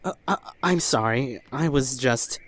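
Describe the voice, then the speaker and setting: A woman playing a gentle, apologetic young male survivor in a zombie apocalypse audio drama, hesitant and solicitous. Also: very deep voice, animated, a little slow. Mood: flustered, apologetic. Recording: slightly noisy amateur recording with ambience.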